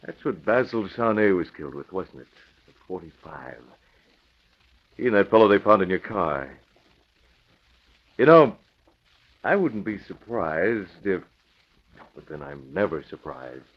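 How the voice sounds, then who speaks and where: An actor playing a confident, stern masked vigilante in an old radio drama, clipped and sardonic. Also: male voice, low-pitched, sing-song, measured pace, long pauses, exaggerated voice. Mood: menacing, sardonic. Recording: muffled vintage radio recording.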